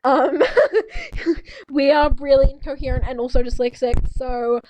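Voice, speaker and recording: A woman's voice: high voice, steady tone, unhurried, darkish tone, a little hiss or hum, low-quality recording. A young woman chatting casually with a friend, with a giggly manner and mock-dramatic sarcasm. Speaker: co-host reading fanfiction. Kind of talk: casual joking chat on a call with a friend.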